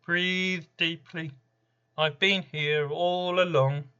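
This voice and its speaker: An apocalyptic spirit of misfortune, acted out by a man reading a poem declaims with smug, indifferent menace. The voice is raised high and forceful, each pronouncement slow and certain, on a slightly dull recording. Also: steady tone, clean tone. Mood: ominous. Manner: declamatory, ominous, smugly self-assured.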